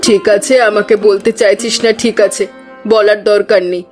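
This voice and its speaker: A woman's voice: medium pitch, level tone, normal speed, close to an everyday voice. A woman playing a concerned, plain-spoken village mother in a cartoon, questioning in a maternal way. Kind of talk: cartoon voice acting. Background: background music.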